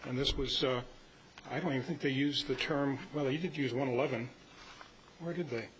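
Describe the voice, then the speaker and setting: A man in his seventies giving an informal, halting, rambling talk to a club meeting. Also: mid-range voice, fairly bright tone. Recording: muffled live meeting audio with hiss.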